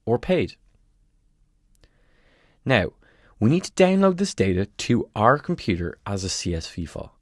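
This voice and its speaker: A man presenting a scripted tutorial, giving clear step-by-step instructions in a close, dry voice-over recording. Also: lowish voice, no echo.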